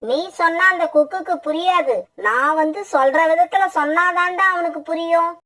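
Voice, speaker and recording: A woman as a high, threatening mother bird. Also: moderate pace, a bit bright, distant mic. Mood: scheming. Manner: threatening, scolding. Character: scheming, vengeful mother bird with a hot temper. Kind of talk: children's cartoon story acting.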